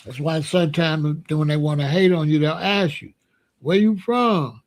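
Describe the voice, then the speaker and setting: A man with a somewhat high voice runs his own opinion show. He speaks bluntly in loose street slang and keeps firing rhetorical questions. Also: moderate pace. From Chicago. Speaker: show host. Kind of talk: casual opinion monologue.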